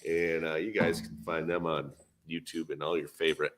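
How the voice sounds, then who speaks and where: A man hosting a podcast, talking casual shop in a laid-back, halting way, thinking aloud as he goes. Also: very deep voice, level tone. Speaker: podcast host. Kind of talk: casual shop talk on a livestream.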